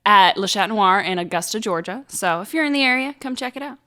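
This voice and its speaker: Co-host: American female, mid-pitched and bright, casual banter and announcements. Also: expressive, fairly quick. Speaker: podcast co-host.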